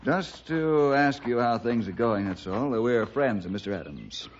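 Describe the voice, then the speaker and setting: An actor playing a calm, level-headed western lawman hero, speaking in a measured, probing way in a radio drama. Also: medium pitch. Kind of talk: old-time radio western acting. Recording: dull vintage radio recording.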